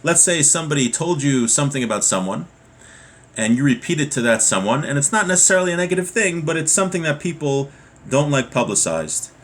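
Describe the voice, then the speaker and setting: A man's voice: a little high, animated, fairly quick. Man teaching an informal religious lesson. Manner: explains with acted everyday dialogue examples. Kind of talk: informal text lesson, reading then explaining.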